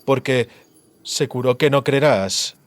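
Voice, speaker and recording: Cruel male sorcerer, acted by a man, menacing, coldly gloating, theatrical, measured, fantasy audiobook villain, dry sound. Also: mid-range voice, no room sound, natural voice. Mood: gloating, reproachful.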